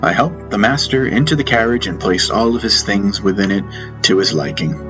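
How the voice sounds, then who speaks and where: Low-voiced man narrating over music. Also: expressive, fairly quick, low-quality recording. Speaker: audiobook narrator. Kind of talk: scripted first-person narration of a fantasy novel. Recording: music bed behind narration. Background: background music.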